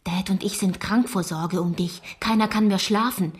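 A woman's voice: medium pitch, fairly even intonation, normal speed, slight background noise, close to an everyday voice. Actress as a worried, insistent young girl. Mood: worried, reproachful. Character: worried, insistent teenage girl, protective sister. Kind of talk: radio drama acting.

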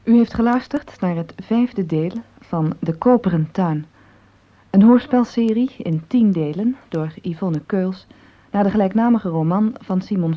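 A slightly muffled old broadcast recording of a female announcer. She reads formally and very slowly, at a medium pitch. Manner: formal, measured. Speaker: radio drama announcer. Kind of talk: scripted closing credits.

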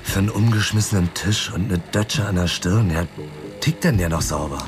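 An actor playing a weary, broke, hard-boiled private investigator in a German radio drama, talking in a dry, colloquial, sardonic way. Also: male voice, sits low, normal speed, over music, close to an everyday voice.

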